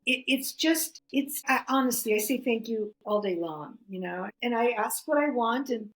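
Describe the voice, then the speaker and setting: A middle-aged woman whose first language is Greek, a podcast guest telling stories in a lively way, full of restarts and "you know". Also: on the high side, normal speed, poor audio. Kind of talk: conversational storytelling.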